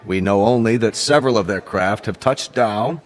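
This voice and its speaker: An actor playing the UN Secretary General, giving formal official statements in a radio drama. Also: male voice, lowish voice, a little hiss or hum, close to an everyday voice. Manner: formal official address, halting at times.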